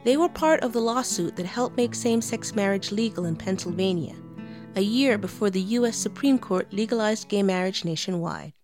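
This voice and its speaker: A woman delivers a scripted podcast introduction in a clear, measured voice. Guitar music plays clearly behind her. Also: mid-range voice, expressive. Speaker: podcast host. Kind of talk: scripted narration.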